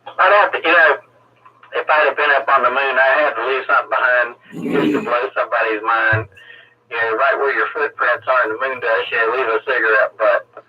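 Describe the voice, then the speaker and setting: A man's voice: mid-range voice, fairly even intonation, a little fast. A man casually chatting over a CB radio transmission, laid-back and wisecracking, using radio lingo. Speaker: CB radio caller. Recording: muffled, noisy, distant CB radio transmission.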